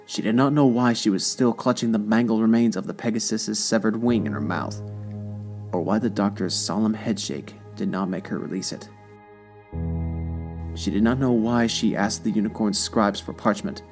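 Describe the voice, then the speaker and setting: Casting: male narrator, lowish pitch, solemn, deliberate dramatic storytelling over clear instrumental music. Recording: music behind the voice.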